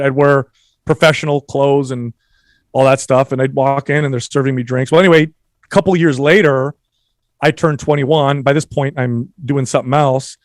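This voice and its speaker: A man in his forties from San Jose, California, a fitness trainer guesting on a podcast, telling easygoing stories and chiming in with quick agreement. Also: medium pitch, no room sound, studio quality. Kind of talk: casual chat.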